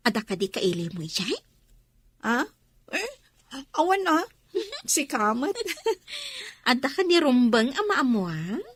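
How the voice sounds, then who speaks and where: Teasing young female student, outspoken, Filipino accent, high and swooping, radio drama. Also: huge pitch swings, measured pace, long pauses, breathy edge, exaggerated voice. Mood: teasing, animated.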